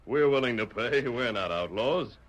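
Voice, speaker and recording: A man playing a calm, righteous masked frontier hero in an old radio western, measured and formal, with an American accent. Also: mid-range voice. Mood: calm, courteous. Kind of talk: 1940s radio western acting. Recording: noisy vintage radio broadcast.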